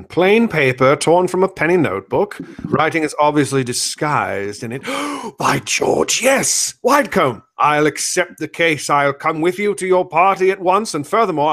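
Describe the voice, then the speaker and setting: A man playing an excitable, self-assured gentleman detective in comic old-time radio style, theatrical and emphatic. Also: fairly high pitch. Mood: intrigued, decisive.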